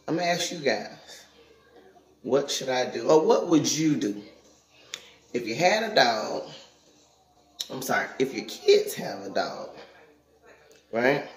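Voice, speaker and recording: A middle-aged woman, chatting casually and plainly to viewers as a vlogger. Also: extremely low pitch. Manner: plainspoken, conversational, addressing viewers directly. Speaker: vlogger and parent. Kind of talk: casual vlog chat. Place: indoors in a house, kitchen and hallway. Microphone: phone at arm's length. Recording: roomy, echoey home sound.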